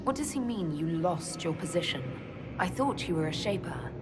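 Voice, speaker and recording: An actress playing a thoughtful, inquiring party leader in a video game, calm and deliberate. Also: female voice, on the low side, close to an everyday voice. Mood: curious. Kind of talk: video game dialogue acting. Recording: music behind the voice.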